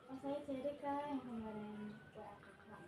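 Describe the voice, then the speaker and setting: A woman's voice: fairly high pitch, normal speed. A young woman playing a client in a counseling role-play, talking casually and rambling with fillers. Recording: echoey room, distant mic, noise.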